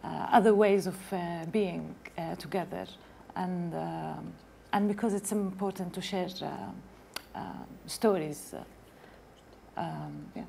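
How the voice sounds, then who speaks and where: Hesitant, gravelly-voiced woman from Palestine. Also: lowish voice, slow pace, warm tone, slight background noise. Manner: hesitant, many fillers. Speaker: playwright. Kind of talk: panel introduction.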